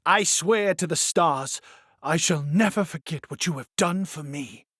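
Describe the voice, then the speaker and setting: An actor playing an excitable, earnest, fussy astronomer in a video game, with theatrical, flowery and precise speech. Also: male voice, a little high, a little slow, dry recording, studio quality. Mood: elated, grateful. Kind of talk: dramatic video game voice acting.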